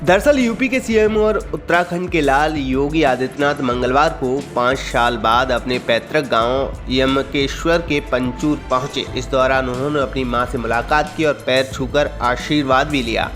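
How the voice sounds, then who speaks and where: Guy doing a news voiceover, medium pitch, scripted read, music clearly playing behind him. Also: normal speed, few pauses, a bit bright. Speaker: news voice-over narrator. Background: prominent music.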